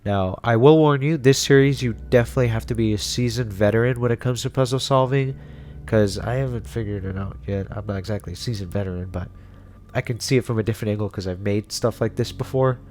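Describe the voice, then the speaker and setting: A man's voice: on the low side, quick pace, a little mellow. A man giving casual video commentary and recommendations in an informal, rambling, conversational way. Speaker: video host and reviewer.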